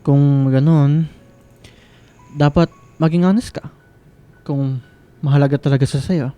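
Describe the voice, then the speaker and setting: An actor playing a reserved, supportive young student in a radio drama, with a Filipino accent and halting delivery. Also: male voice, fairly high pitch, fairly even intonation, mellow, clear, resonant tone, soft background music, natural voice. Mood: subdued, supportive. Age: young adult. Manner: hesitant, halting. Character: Liam, reserved young male student, quietly supportive friend. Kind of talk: radio drama acting with English code-switching.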